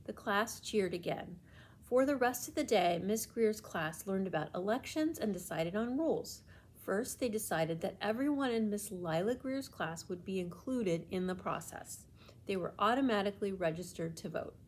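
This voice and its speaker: A woman reading a children's storybook aloud in an expressive, deliberate way with emphasis. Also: mid-range voice, slight background noise.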